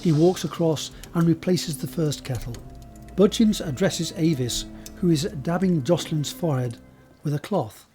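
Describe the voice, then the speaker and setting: A man's voice: a little high, normal speed, dark tone, faint music behind. A man reading scripted scene narration for an audio drama.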